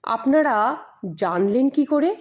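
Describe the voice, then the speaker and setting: A woman's voice: high-pitched, lively intonation, slow, darkish tone. A woman voicing a composed, firm male hero who questions politely in an audio mystery story. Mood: indignant, firm.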